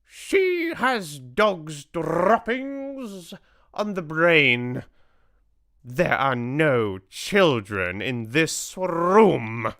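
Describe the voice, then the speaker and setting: A storyteller voicing a tyrannical female head witch, screeching commands in furious, drawn-out words. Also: mid-range voice, very animated, a bit bright, voice pushed up, full character voice. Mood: scornful, angry.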